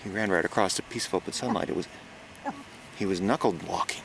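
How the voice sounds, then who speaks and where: Frightened male reporter for horror audio drama, played by an actor: low, creaky voice, stammering into his recorder outdoors. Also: fairly bright tone. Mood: horrified, disbelieving. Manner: halting, stammering, gasping exclamations. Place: outdoors on a farm. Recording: noisy outdoor location recording.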